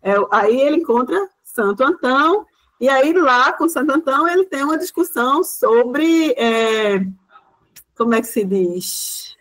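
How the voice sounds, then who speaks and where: Female lecturer, slightly high voice, informal and explanatory, checking in with frequent tag questions. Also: lively intonation, measured pace, fairly bright tone, poor audio. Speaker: university lecturer. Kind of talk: informal lecture presenting a project.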